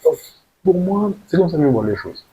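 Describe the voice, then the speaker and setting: A man's voice: fairly high pitch, fairly quick, slightly rough, dull audio. A young man speaks off the cuff in an earnest personal monologue, hesitating and often repeating words as he goes. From French Guiana. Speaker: video creator telling his own story. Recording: steady hiss, noisy amateur recording.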